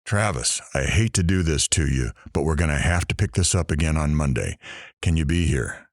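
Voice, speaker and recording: A man's voice: extremely low pitch, moderate pace, no echo, studio quality. A man playing a chatty, joking radio talk show host in an audio drama. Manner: animated, joking.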